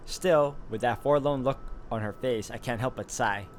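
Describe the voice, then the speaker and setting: A man playing a visual novel, reading its narration aloud in a steady, even way with relaxed asides. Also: mid-range voice, normal speed, a little hiss or hum. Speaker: gaming YouTuber. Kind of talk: reading game text aloud with commentary.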